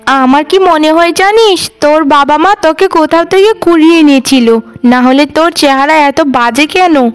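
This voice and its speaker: Cartoon sister-in-law, performed by a woman: high voice, cruel, sharp-tongued nag, music behind. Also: moderate pace, close to an everyday voice. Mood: scornful. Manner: sharp-tongued scolding, taunting. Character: cruel, scornful young sister-in-law, a nagging village wife. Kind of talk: animated cartoon drama voice. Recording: music under the voice.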